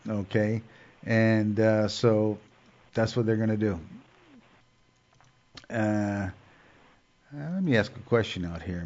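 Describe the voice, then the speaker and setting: A man's voice: lowish voice, a little mellow. A man with a cold and a stuffed-up nose, hosting a show in a rambling casual monologue full of fillers and restarts. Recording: dull lo-fi sound.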